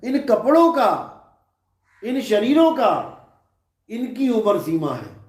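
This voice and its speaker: Slow, high-voiced man giving a sermon. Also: big pitch swings, reverberant. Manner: slow, rhetorical questioning, answers himself. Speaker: spiritual teacher. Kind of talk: live satsang discourse. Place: large hall.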